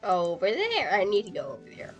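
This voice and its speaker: A woman's voice: medium pitch, wildly swooping pitch, a little fast, soft background music. A woman doing casual live gameplay commentary, talking to herself in a playful, rambling way. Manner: playful, rambling self-talk. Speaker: game streamer.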